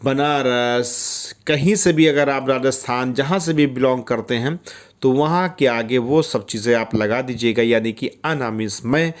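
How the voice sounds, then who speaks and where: Slow-spoken man teaching Arabic. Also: medium pitch. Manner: repeats each phrase slowly in Hindi, English and Arabic; often checks in with "ठीक है?". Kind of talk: spoken Arabic lesson for Indian workers in the Gulf.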